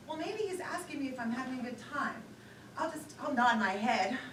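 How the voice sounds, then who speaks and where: An actress plays a self-conscious, chattering woman on an awkward date in a stage play. Her voice is high and theatrical, and she rambles at an unhurried pace. It is heard from a distance in an echoey theater, with clear noise over the recording. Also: animated, a bit bright. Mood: confused, eager. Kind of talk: live one-act stage play monologue.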